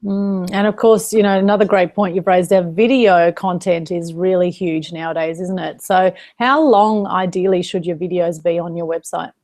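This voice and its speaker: A woman's voice: lowish voice, steady tone, normal speed, few pauses, darkish tone. A woman hosting an interview, asking questions and encouraging her guest with frequent murmurs of agreement. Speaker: host.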